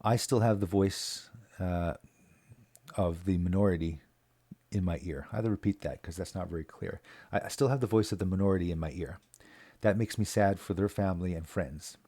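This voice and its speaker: A man's voice: sits low, moderate pace, warm tone, no room sound. A man giving a casual, earnest community update, speaking plainly with many fillers and restarts. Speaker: local community advocate. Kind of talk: casual spoken video update.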